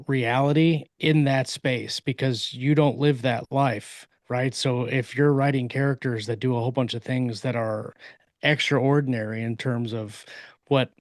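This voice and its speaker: A man co-hosting a casual discussion, explaining his points in a halting, searching way. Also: mid-range voice, no echo, clean studio sound. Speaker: podcast co-host and author.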